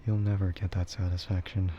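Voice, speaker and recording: A man chatting casually and soft-spoken with his livestream viewers, rambling in a relaxed way. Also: low-pitched, dull audio, slight background noise. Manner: relaxed, soft-spoken ASMR yapping, often chuckling. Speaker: streamer, VTuber host.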